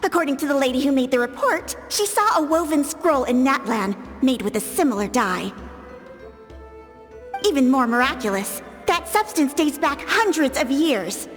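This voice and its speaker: An actress playing a prim, by-the-book official in a video game, speaking in a formal, officious manner. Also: female voice, sits high, expressive, moderate pace. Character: prim, by-the-book female official enforcing regulations. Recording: reverberant, with music behind.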